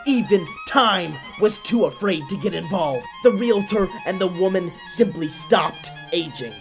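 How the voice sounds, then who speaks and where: A man's voice: high-pitched, expressive, unhurried, music in the background. A man narrating a scripted tale for a puppet show in a theatrical, solemn, literary manner.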